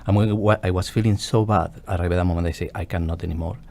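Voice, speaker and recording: A man's voice: deep voice, fairly even intonation, rapid-fire, gravelly, darkish tone. A man from Spain with a Spanish accent, a guest on a radio interview, telling his story earnestly and searching for English words.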